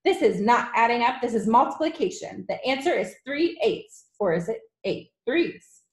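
A woman's voice: lively intonation, normal speed, roomy sound, close to an everyday voice. A woman voicing a huge, bullying headmistress in a children's audiobook, contemptuous and explosive, barking out orders and insults. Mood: furious. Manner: barking, booming, shouted insults.

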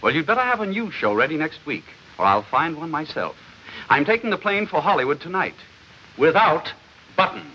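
A middle-aged actor playing an indignant, domineering company boss and lawyer in a 1940s comedy film. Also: male voice, a little high, sing-song, fairly quick, sharp tone, full character voice. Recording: muffled 1940s film soundtrack, noisy hiss.

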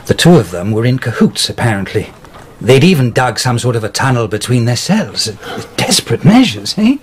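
A man's voice: medium pitch, very animated, moderate pace, slight background noise, lightly acted. An actor playing a nervous, obliging male records clerk who stammers and hesitates in a radio drama.

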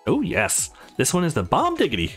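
Man putting on a high, sweet young woman. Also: huge pitch swings, brisk, fairly bright tone, husky, faint music behind, heavily acted. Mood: cheerful, friendly. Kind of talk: visual novel character read aloud.

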